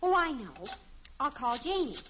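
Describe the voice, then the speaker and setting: An actress playing a lovestruck, dramatic teenage daughter in a 1950s radio sitcom, with a gushing, theatrical manner. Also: female voice, sits high, sing-song, normal speed, pushed and bright, exaggerated voice. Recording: muffled, hissy old radio recording.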